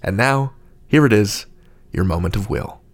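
A man's voice: lowish voice, animated, moderate pace. A man hosting a podcast, reading semi-scripted announcements in a playful, wry way. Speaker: podcast host.

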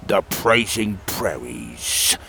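A man voicing a scheming female fairy queen who speaks slowly and softly to her dark master. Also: low voice, sing-song, gravelly, slight background noise, heavily acted. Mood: restrained.

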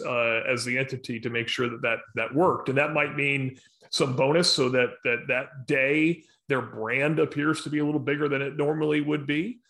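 An American man with a medium-pitched voice leads a professional discussion. He explains in a measured, instructive way and leans on key words for emphasis. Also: vocal fry, a bit bright. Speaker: sports sponsorship professional leading a discussion.

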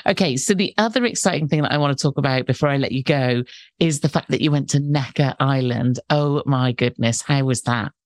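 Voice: mid-range voice, fairly quick, no room sound, studio quality. A host from the United Kingdom asking a guest questions in a friendly, conversational way. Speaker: podcast host. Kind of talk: conversational interview.

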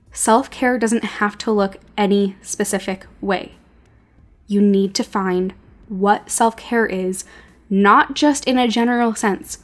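A woman hosting a podcast, delivering a scripted but conversational monologue of advice with wry emphasis and deliberate repetition. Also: medium pitch. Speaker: podcast host giving advice to creators.

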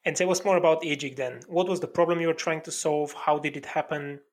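A man hosting a podcast, reading a formal intro and asking interview questions in a measured way. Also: fairly high pitch, fairly even intonation. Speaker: podcast host.

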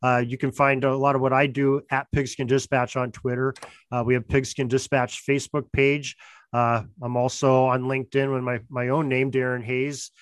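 A man speaking as a podcast guest, explaining things in a halting, earnest, casual way. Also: medium pitch, little pitch movement, no room sound. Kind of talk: casual interview chat.

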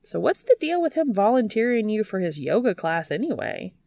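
A woman's voice: medium pitch, very animated, fairly quick, vocal fry, darkish tone, dry recording, clean studio sound, voice pushed up. A woman voicing a chirpy, teasing young woman, a geeky best friend, with bouncy emphasis in an audiobook story.

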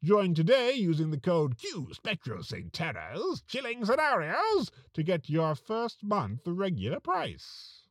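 A man hosting and narrating a scripted comedic horror podcast, with a theatrical, mock-ominous delivery and dramatic pauses. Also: sits high, huge pitch swings.

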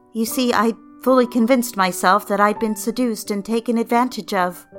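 A woman's voice: a little high, bright, forceful tone. A woman narrating a dramatic story in the first person, speaking confidingly and directly to the reader. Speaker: narrator and lead character.

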